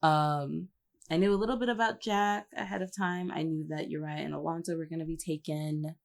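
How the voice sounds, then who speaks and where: A woman's voice: low-pitched, steady tone, fairly quick, gravelly. A woman chatting casually as a podcast guest, rambling a little as she thinks aloud. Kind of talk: casual chat.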